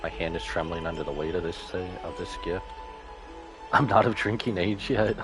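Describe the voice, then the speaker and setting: Guy voicing a reserved low voiced young man in a game, polite n careful, music under. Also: moderate pace, close to an everyday voice. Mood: wary. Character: calm, guarded young male companion, courteous and cautious. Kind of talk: video game dialogue.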